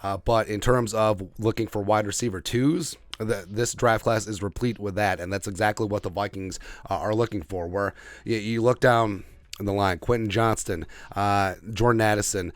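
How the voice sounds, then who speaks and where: Male sports podcaster, lowish pitch, opinionated, emphatic repetition, unscripted football commentary, dry close sound. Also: steady tone, moderate pace, no room sound. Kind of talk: off-the-cuff football draft commentary.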